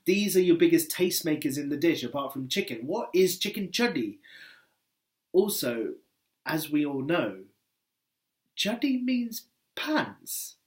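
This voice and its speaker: A man's voice: a little high, very animated, a little slow, pauses often. Wry man reading his essay aloud. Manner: wry, conversational, emphatic on key words. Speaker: book editor and essayist reading his work. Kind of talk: live reading of a personal essay.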